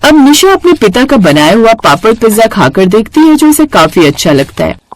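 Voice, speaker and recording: A woman's voice: on the high side, sing-song, normal speed, no echo. A woman reading a scripted story as its narrator.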